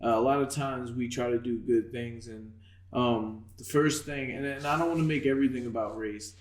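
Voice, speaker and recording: A man's voice: medium pitch, steady tone, normal speed, echoey room. A man hosting a casual podcast interview as a boxing coach, talking loosely and laughing easily.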